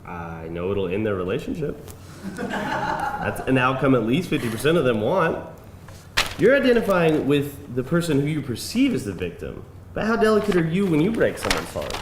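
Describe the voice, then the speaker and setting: A man playing a smooth-talking, pleading businessman with a persuasive, earnest manner at a live table read. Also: medium pitch, big pitch swings, normal speed, lightly acted. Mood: defensive, insistent. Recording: roomy live event, clear background noise.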